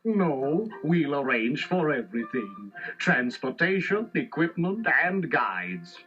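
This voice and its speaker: An actor playing a kindly, authoritative professor with a formal, avuncular manner in a children's storybook drama. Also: male voice, a little high, sing-song, takes it slowly, bright, forceful tone, full character voice. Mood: earnest briefing. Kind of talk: children's read-along storybook acting. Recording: dull 1980s tape, music behind.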